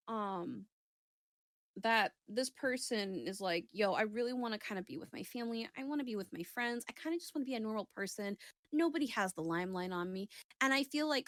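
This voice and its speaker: A woman's voice: medium pitch, no room sound, studio quality. A woman giving a casual intuitive reading in conversation, speaking tentatively and often rephrasing herself as she goes. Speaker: psychic reader on a talk show.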